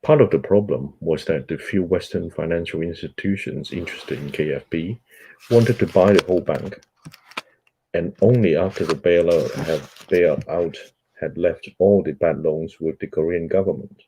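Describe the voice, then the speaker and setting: A man whose first language is Cantonese, hosting a book club and reading a nonfiction book aloud in a measured way, pausing on fillers between phrases. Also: sits low, mellow, slight background noise, rough recording.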